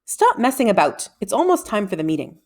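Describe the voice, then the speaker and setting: Woman acting a gruff, commanding old wizard. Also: mid-range voice, big pitch swings, fairly quick, darkish tone. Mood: irritated. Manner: curt, commanding. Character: gruff, impatient old male wizard mentor. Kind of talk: children's book read-aloud character voice.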